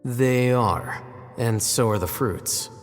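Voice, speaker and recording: A man voicing a cool, reserved young scholar in a video game, with calm, measured and dry delivery. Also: medium pitch, natural voice. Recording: reverberant game scene with faint music.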